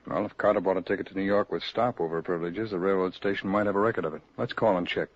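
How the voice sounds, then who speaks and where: An actor playing a brisk, businesslike male FBI agent in a 1940s radio drama, with clipped questioning. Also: low voice, fairly even intonation. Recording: muffled 1940s radio recording.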